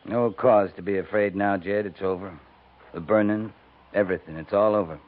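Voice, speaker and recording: An actor playing a steady, weary US cavalry captain in a 1950s radio western, speaking in a calm, laconic, plain-spoken way. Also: male voice, lowish voice, moderate pace, close to an everyday voice. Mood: calm, reassuring. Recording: noisy, tinny 1950s radio recording.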